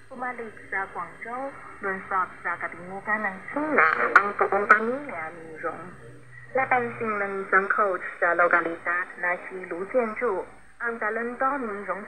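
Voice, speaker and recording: Female radio presenter, mid-pitched, reading scripted narrative over a hissy, noisy shortwave signal. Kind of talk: scripted narration of a sports biography.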